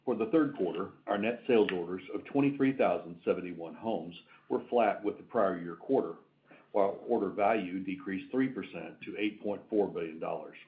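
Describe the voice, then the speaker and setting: A man's voice: low voice, moderate pace, a little hiss or hum. A man delivering prepared financial figures as a company executive on an earnings call. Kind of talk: scripted financial presentation. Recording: tinny phone-like conference line.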